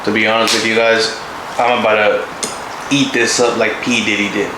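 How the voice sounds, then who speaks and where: A man's voice: lowish voice, level tone, moderate pace. A man in his twenties chatting casually to camera as a channel co-host, joking and slangy. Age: 20s. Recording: roomy echo, clear background noise.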